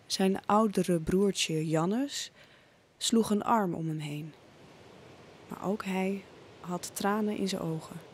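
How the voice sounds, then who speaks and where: A woman's voice: fairly low pitch, slightly rough. A woman narrating a scripted audio drama as a dramatic storyteller.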